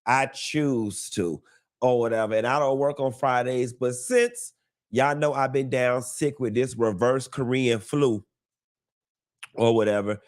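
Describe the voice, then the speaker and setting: A man in the middle of his range hosts a live gossip show, sounding congested from a cold. He talks in a sassy, drawling, campy way, calling the audience honey and baby and swooping up high when he wants to stress something. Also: a little slow. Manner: swoops high on greetings and emphasis. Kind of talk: casual campy gossip monologue.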